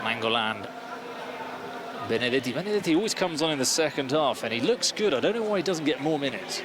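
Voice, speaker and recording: A man from Spain with an English accent giving measured, analytical play-by-play football commentary. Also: mid-range voice, very animated. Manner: measured, analytical calling of the action. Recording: loud crowd and stadium noise.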